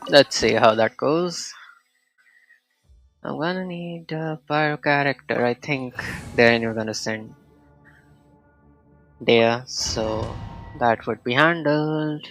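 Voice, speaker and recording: Slow, casual man commenting on his game. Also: mid-range voice, fairly bright tone, no echo, poor audio. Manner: thinking aloud, offhand reactions. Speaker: game streamer. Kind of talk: casual let's-play commentary. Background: game music.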